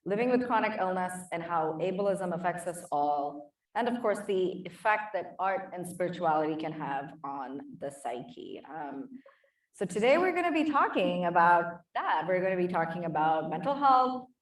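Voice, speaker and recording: A woman's voice: fairly low pitch, lively intonation, normal speed, far from the mic. A woman with an Indian accent, co-chairing a conference and moderating a panel, friendly with frequent ums. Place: conference hall. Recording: echoey hall, voice from off mic.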